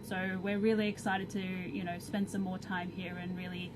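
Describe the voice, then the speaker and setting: Woman interview guest over clear background noise. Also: fairly low pitch, little pitch movement, normal speed. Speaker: company head of growth, interview guest. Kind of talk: conversational interview answers.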